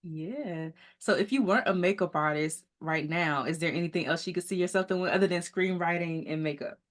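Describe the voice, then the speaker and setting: Female podcast host, lower-pitched voice, eager and chatty, laughs easily. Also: fairly quick. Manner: upbeat, enthusiastic, laughs often. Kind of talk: casual interview chat.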